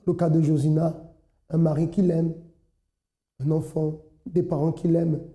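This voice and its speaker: A male TV host speaks in a measured, exhorting voice. His tone is very dark and mellow, pitched a little high for a man, and the sound is roomy and echoey. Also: level tone. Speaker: TV program host. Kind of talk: religious TV presenting.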